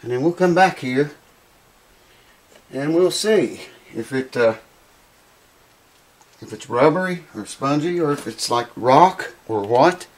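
A man, hoarse and losing his voice, chatting casually to camera in a small home workshop. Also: fairly high pitch, animated, slow pace, pauses often. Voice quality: raspy. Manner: rambling, folksy, swears casually. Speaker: guitar repair vlogger. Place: small cluttered home workshop with mounted animal heads, indoors.

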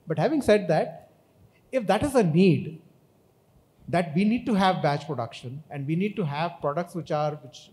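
A man in his fifties answers on a conference stage, his voice mellow and set fairly high for a man. He speaks in a measured way, stopping and restarting often with fillers, into a handheld microphone. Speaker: panelist, auto industry executive. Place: large conference hall stage.

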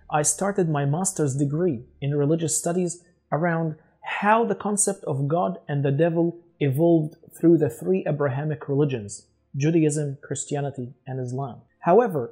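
A man in his forties with an Egyptian accent speaks English as a second language to his channel's viewers. His voice is mellow and a little husky, and his delivery is measured and earnest. He was recorded on a lapel mic in a studio. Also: a little high. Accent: Egyptian Arabic. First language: Arabic. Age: 40s. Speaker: channel host. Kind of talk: scripted talk to camera. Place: studio with dark backdrop.

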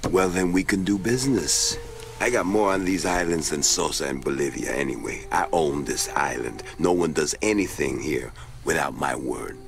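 Crime-game kingpin, extremely low voice, gravelly, smooth menace, self-assured, faint ambience. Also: male voice, normal speed, slight background noise. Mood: confident, smooth. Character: shrewd, self-assured island drug boss, smooth and menacing. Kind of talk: video game cutscene acting.

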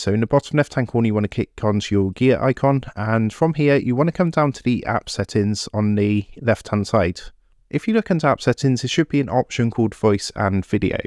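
A man walks viewers through a software setup one click at a time, with a mid-pitched voice and an easygoing, repetitive way of explaining. The sound is close and dry, with almost no room in it. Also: no room sound. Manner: steady step-by-step instructions, repeats "what you wanna do". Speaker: tech tutorial presenter. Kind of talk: screen-recorded software tutorial. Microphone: desktop USB microphone.

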